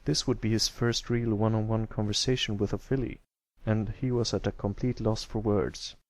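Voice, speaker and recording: A man narrates a storybook tale in a mid-pitched voice with a gravelly creak. The recording is close and dry, and he reads as an amateur audiobook narrator. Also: steady tone, moderate pace, a little mellow, no echo.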